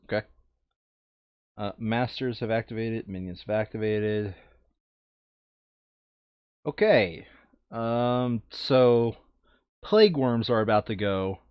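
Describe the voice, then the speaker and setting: A man's voice: lowish voice, slow pace, pauses often, clear, resonant tone, no echo. A man chatting casually and jokily as he plays a tabletop game. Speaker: board game player. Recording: dull lo-fi sound.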